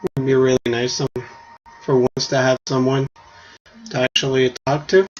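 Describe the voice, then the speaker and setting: A man's voice: medium pitch, little pitch movement, normal speed. A man whose first language is French, hosting a casual solo podcast and thinking aloud with small restarts. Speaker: podcast host. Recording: dull lo-fi stream audio with faint noise.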